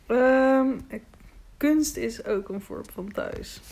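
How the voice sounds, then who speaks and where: A woman's voice: high-pitched, drawn-out words, darkish tone. A woman in reflective, casual conversation as an interviewer, thoughtful and tentative, often agreeing.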